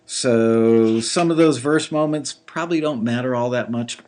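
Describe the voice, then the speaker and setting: A man with a slightly gravelly voice giving casual live commentary on listeners' songs, thinking aloud with lots of fillers. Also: mid-range voice, unhurried, darkish tone. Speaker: music industry reviewer critiquing listener songs.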